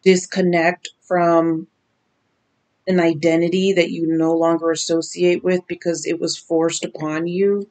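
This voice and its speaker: A woman in her thirties, raspy from lack of sleep, giving a slow, emphatic tarot reading to the camera in a small bedroom. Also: on the low side, level tone, a little mellow. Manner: slow, emphatic, drawn-out warnings. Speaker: tarot reader. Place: small decorated bedroom indoors.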